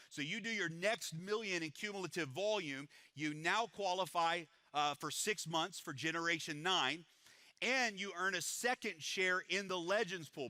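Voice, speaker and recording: A man presents to a live audience with an energetic sales pitch, speaking in a slightly high, very bright voice. The sound is dry and close, with no room echo. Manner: energetic salesman pitch, repeats "it's powerful". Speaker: presenter at a business event.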